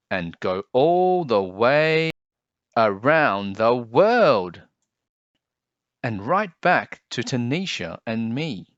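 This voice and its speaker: A man reading a picture book aloud and then talking to children about it, explaining things gently and simply. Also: fairly low pitch, no room sound, highs cut off.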